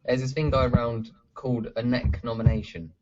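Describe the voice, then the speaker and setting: Relaxed man explaining a topic. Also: mid-range voice, fairly quick, creaky, noisy background. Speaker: podcast co-host. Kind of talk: casual chat, explaining a topic. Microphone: computer mic on an online group call. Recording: dull lo-fi online call audio.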